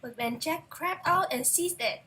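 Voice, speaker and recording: A young female voice reads a fairy tale aloud, high and bright in tone. The narration is slow and deliberate, in storybook style. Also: slight background noise. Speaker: storybook reader.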